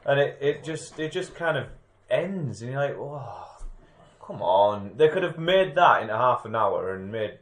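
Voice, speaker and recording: A man's voice: mid-range voice, big pitch swings, a little slow, reverberant. A man co-hosting a casual film podcast, rambling and trailing off mid-thought. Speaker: podcast co-host. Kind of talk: casual chat over beers.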